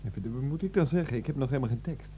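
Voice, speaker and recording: An actor playing an earnest, besotted husband of 39, a rambling and self-important former teacher, in a satirical radio play. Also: male voice, medium pitch, very fast, mellow, husky, lightly acted. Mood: puzzled. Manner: rambling, self-important, hesitant, repeating himself.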